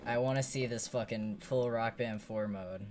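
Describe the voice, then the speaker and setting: A young man giving a casual, sweary live reaction as a game streamer, with an excitable, slangy manner. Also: mid-range voice, moderate pace, noisy background. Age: young adult. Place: indoors, small home room.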